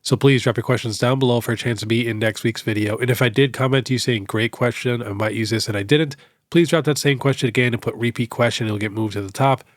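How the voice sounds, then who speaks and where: A young man with a stuffed-up nose from a cold, hosting a casual question-and-answer show in a relaxed, chatty way full of fillers. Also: mid-range voice, fast, dry recording, clean studio sound. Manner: relaxed, chatty, many fillers like "you know". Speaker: host. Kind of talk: casual Q&A on card collecting.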